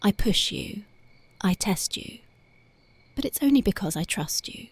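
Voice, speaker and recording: Woman doing a young punk girlfriend, ASMR roleplay, soft close whispers, unhurried, dry and near. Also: mid-range voice, animated, breathy edge, no room sound. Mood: tender, dreamy. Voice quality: soft, intimate, partly whispered. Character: tough, devoted young punk girlfriend, tender at night. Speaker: voice actress.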